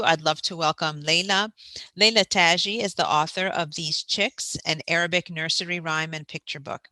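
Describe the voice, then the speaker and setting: A woman's voice: deep voice, bright, forceful tone, no echo, low-quality recording. A woman hosting a festival session, giving friendly announcements and reading out a guest's prepared introduction. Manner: friendly, informative, reads a prepared bio. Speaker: festival host.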